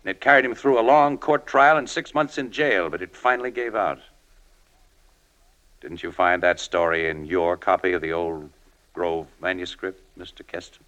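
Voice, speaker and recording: Low-voiced, hard-edged American newspaperman. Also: normal speed, a bit bright, highs cut off. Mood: cool, needling. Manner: slangy, cool, needling. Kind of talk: 1940s radio drama acting.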